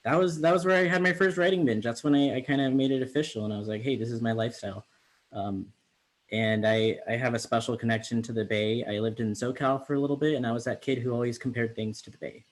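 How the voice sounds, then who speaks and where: Relaxed man hosting a reading. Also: medium pitch, quick pace. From US, Bay Area. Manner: chatty, relaxed, with fillers. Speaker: managing editor hosting a reading. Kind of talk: casual virtual event hosting.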